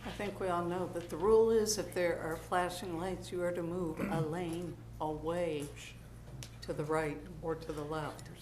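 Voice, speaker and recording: A woman's voice: lowish voice, fairly even intonation, normal speed, a little mellow. A woman council member making a firm, exasperated comment at a meeting. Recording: echoey chamber with noise.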